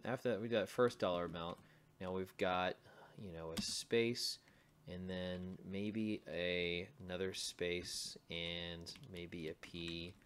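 A man giving a casual screencast coding walkthrough as a tutorial host, thinking aloud as he types. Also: sits low. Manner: thinking aloud while typing. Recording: typing and mouse clicks, faint noise. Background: computer keyboard typing and clicks.

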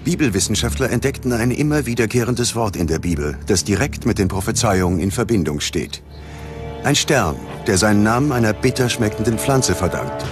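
A man with a fairly low voice delivers scripted, dramatic documentary narration. Prominent music plays behind him. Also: brisk.

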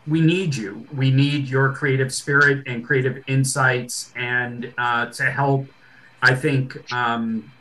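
A man's voice: medium pitch, gravelly, a bit bright, rough recording. A man in his fifties hosting an online panel over a video call, wrapping up with many fillers and restarts. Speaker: host. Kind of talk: closing remarks of a webinar. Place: home room with bookshelves.

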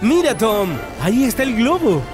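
A man's voice: extremely high pitch, big pitch swings, normal speed, over music. A man narrating a preschool cartoon, talking to the characters with encouraging exclamations and questions.

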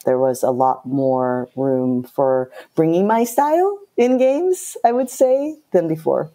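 Low, mellow-voiced outspoken woman. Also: slow. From Japan. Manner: direct, outspoken, animated storytelling. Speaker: game producer, guest. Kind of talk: casual interview talk.

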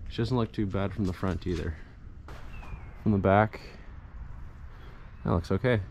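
A man's voice: low voice, a little slow. A man narrating a casual hands-on how-to as a DIY vlogger outdoors, speaking in hesitant, piecemeal phrases. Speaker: DIY vlogger demonstrating an installation. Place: outdoors in a residential yard and driveway. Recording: clear background noise, outdoor ambience.

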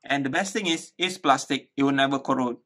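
A man's voice: mid-range voice, a little fast, sharp tone. A man in his thirties explaining a hands-on build casually, thinking aloud and repeating words as he goes. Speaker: DIY tutorial host. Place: small living room indoors.

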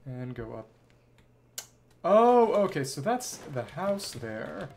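A man's voice: on the high side, sing-song, slow pace, creaky, darkish tone, a little hiss or hum. A man giving casual livestream commentary on a game, thinking aloud in clipped fragments and reading bits of dialogue. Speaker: livestreamer, virtual avatar host.

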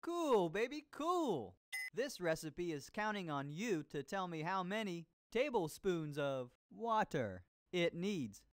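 A man playing a groovy, hammy disco-era TV cooking show host in an educational game, using showy slang and drawn-out words. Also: high-pitched, very animated, no room sound, full character voice. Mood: groovy, upbeat. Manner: hammy, drawn-out showman delivery. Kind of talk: exuberant 1970s disco slang show hosting. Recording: loud music under the voice.

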